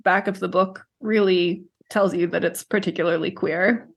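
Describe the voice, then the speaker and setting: A woman's voice: on the low side, steady tone, normal speed. A woman in her thirties co-hosting a podcast, talking casually about books in a conversational way. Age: 30s. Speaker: podcast co-host. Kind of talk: casual book discussion chat.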